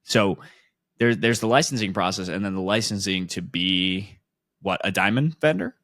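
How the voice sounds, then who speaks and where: A man's voice: deep voice, moderate pace, a bit bright, no echo, clean studio sound. A man hosting a podcast, who opens with an upbeat intro and then asks casual questions. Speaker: podcast host.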